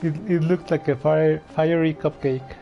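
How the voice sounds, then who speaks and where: A man giving casual, halting playthrough commentary as he reacts to a game. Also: fairly high pitch, fairly even intonation, vocal fry. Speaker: game streamer. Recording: dull mic, faint game music behind. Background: faint game music.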